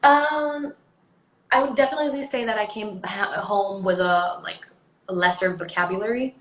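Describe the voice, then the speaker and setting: A woman's voice: medium pitch, normal speed, a bit bright, echoey room. A young adult woman from Sweden with a Swedish accent, chatting casually as an interview guest, rambling with many ums and likes. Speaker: actress guest.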